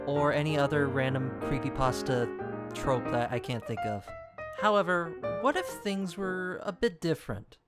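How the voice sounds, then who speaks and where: A young man narrating a scripted video essay with casual, wry asides and dramatic pauses. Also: fairly high pitch. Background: music.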